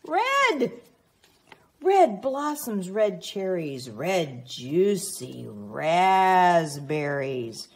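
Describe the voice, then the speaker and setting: A woman reading a picture book aloud expressively to young children, prompting them with teacherly questions and pauses. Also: fairly low pitch, big pitch swings, breathy edge. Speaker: children's read-aloud reader.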